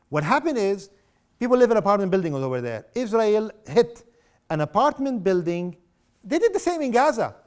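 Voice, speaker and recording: A middle-aged man from South Lebanon lectures an audience with a notably high voice. His delivery is impassioned and sarcastic. He keeps throwing rhetorical questions at the listeners. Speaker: guest speaker. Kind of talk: public lecture.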